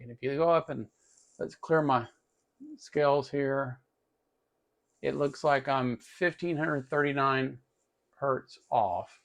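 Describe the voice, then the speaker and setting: Gravelly-voiced man giving a casual tutorial. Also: mid-range voice. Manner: informal, thinking aloud while working. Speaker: host of an electronics tutorial channel. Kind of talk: casual hands-on tutorial demonstration.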